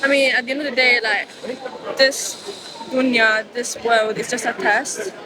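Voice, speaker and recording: A woman's voice: a little high, fairly even intonation, normal speed, a crowd in the background, rough recording. A woman whose first languages are Italian and Moroccan Arabic, speaking English hesitantly outdoors and searching for words. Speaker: passerby being interviewed. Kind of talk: casual street conversation. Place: outdoors on a street. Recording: outdoor recording with street noise.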